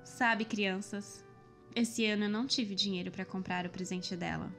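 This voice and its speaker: A woman's voice: mid-range voice, steady tone, moderate pace, creaky, a bit bright, faint music behind. A woman voicing a welcoming, kindly mother with a Brazilian accent in a children's cartoon. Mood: worried.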